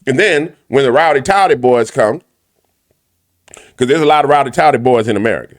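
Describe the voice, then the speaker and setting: A man with a big, loud voice delivers a fiery commentary rant. He hammers his points home through repetition, rising and falling like a preacher in full flow. His pitch sits in a plain middle register. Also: animated, fairly quick. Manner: emphatic, repetitive, preacher-like cadence. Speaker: commentary show host.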